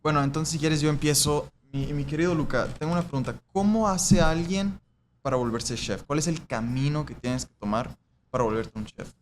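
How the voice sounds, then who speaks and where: A man's voice: a little high, moderate pace. A young adult man, hosting a casual podcast interview in a friendly, slangy way. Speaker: podcast host. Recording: noisy room ambience.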